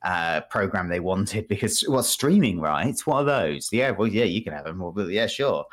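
A man's voice: low voice, sing-song, moderate pace. A British man hosting a casual gaming podcast, rambling and thinking aloud with a wry manner.

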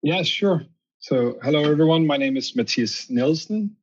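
A man's voice: on the high side, lively intonation, normal speed, husky, highs cut off. A man with a Danish accent, a guest on a podcast interview, explaining at length and often restarting his sentences. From Denmark. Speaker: guest, company founder.

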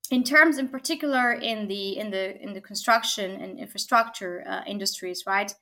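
A woman from Argentina, a technology consultant, speaking as a guest in a podcast interview, thoughtful, with frequent pauses and tag questions. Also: mid-range voice, bright, forceful tone. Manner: thoughtful, many fillers and restarts, frequent "right?".

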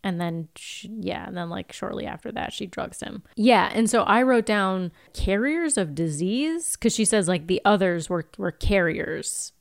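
A woman's voice: mid-range voice, lively intonation, measured pace, gravelly, hardly any pauses, no echo, clean studio sound. A woman co-hosting a podcast, chatting informally with some teasing banter. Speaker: podcast co-host. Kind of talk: casual chat, reacting to TV episodes.

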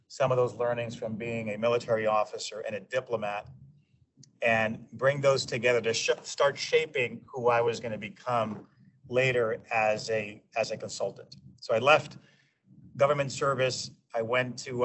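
Interview guest, male, 40s, from New York, measured delivery with restarts, recorded off-mic with faint noise. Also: medium pitch, a bit bright, distant mic, poor audio. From New York, USA. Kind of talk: casual interview.

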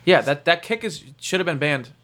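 A young American man co-hosting a podcast, telling stories excitedly and swearing a lot in casual banter. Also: a little high, fairly quick. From United States. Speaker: podcast co-host.

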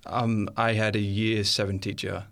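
Low gravelly man, earnest and hesitant. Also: flat delivery, moderate pace, fairly bright tone. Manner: earnest, hesitant, thinking aloud. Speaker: interview guest. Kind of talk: reflective personal testimony.

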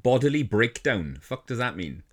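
A man with a Welsh accent co-hosting a casual podcast in a sweary, rambling, excitable way. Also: mid-range voice, moderate pace. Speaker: podcast co-host. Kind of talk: casual banter between podcast co-hosts.

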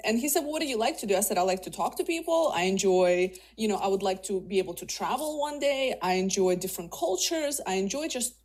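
Woman, 30s, from Russia, native Russian speaker; career guest, casual and rambling, middle pitch. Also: animated, quick pace, few pauses, a little mellow, slightly rough. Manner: chatty, many fillers and restarts. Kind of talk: casual interview.

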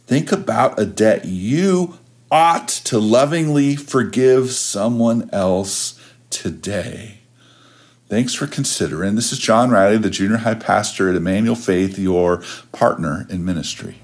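A man who works as a pastor, reading a scripted devotional in measured, deliberate phrases with pauses. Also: fairly low pitch. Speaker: junior high pastor giving a devotional.

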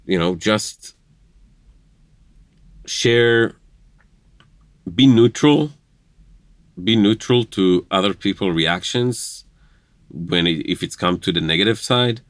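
Deep-voiced Israeli man, podcast guest, earnest and chatty, dry close recording. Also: slow, pauses often, dry recording. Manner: earnest, laughing, broken English phrasing. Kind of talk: casual conversation.

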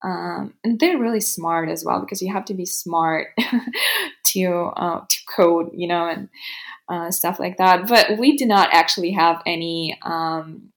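Young Ukrainian-accented woman interviewee; low, gravelly voice with fry; chatty but hesitant, filler-heavy delivery. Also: animated, a little slow. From western Ukraine. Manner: hesitant, many fillers, laughs often. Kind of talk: casual chat.

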